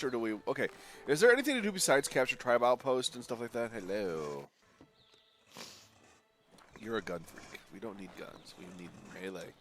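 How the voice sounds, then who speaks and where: Male streamer, low-voiced, nasal and congested from a cold, jokey running commentary, game music underneath. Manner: rambling, jokey, reacting aloud to the game. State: has a bad cold. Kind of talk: live gameplay commentary.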